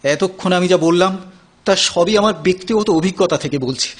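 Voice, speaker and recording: A man acts out a tender, wounded lover in a radio-style Bengali play. His voice is fairly high for a man and rough with huskiness. He speaks dramatically, with weighted pauses and a poetic lilt. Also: faint music behind. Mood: earnest, pleading. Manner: dramatic, pausing, poetic delivery. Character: emotional man recovering from drug addiction, tender lover. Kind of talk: Bengali audio play acting.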